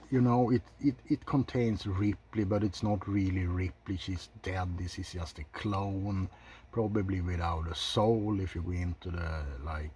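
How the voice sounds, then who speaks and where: A man giving unscripted ASMR film commentary in a soft, low-key, halting way, blunt and opinionated with casual swearing. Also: slight background noise. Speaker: video host reviewing films.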